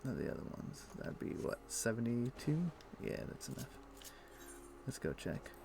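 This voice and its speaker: A man streaming a video game, explaining things in a relaxed, meandering way with frequent pauses. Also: medium pitch, gravelly, background noise. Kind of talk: casual gameplay chat.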